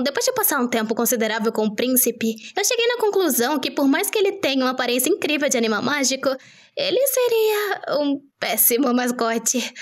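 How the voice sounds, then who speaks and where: A woman with a Brazilian accent playing an excitable young cat owner, narrating an animated storytime fandub in a cutesy, expressive way. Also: high-pitched.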